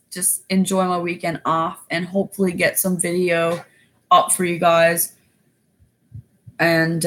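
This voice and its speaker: A woman with a raspy voice chatting casually on a livestream, rambling with many fillers. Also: on the low side, a bit bright. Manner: rambling, loose, lots of fillers. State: winded after exercising. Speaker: YouTuber going live.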